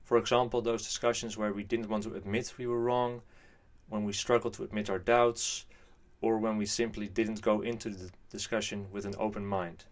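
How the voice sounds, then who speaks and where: A young Dutch man speaks in a lowish voice with a Dutch accent. He talks earnestly and carefully, hedging his views, as he hosts his own channel. The recording is close and dry. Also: level tone, moderate pace, no echo. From Netherlands. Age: 20s. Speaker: channel host. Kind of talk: scripted monologue.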